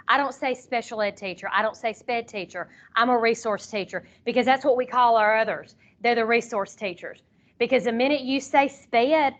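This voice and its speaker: A woman with a loud, fairly high voice instructs a group like a teacher running a workshop. She is conversational but directive, repeating short phrases to press a point. The recording is dull, a bit noisy and picked up from a distance. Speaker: teacher leading a co-teaching training session. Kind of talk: informal classroom instruction.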